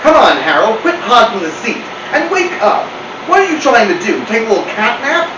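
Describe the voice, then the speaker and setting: Man playing bossy know-it-all storybook cat, highish animated voice, impatient, roomy sound. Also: normal speed. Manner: insistent, lecturing, impatient. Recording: roomy, distant mic, clear noise.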